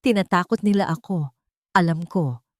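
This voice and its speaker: A woman acts out a resolute old woman in an audio story. She is grave and unwavering, with a lowish voice in a dry recording. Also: moderate pace, no room sound, lightly acted. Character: resolute elderly female neighbour standing up as witness.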